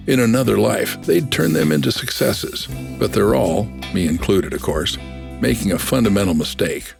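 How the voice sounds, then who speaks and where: An actor playing a self-deprecating older man giving frank, folksy advice in a manga drama dub, with a gravelly voice. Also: fairly low pitch. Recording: music under the voice.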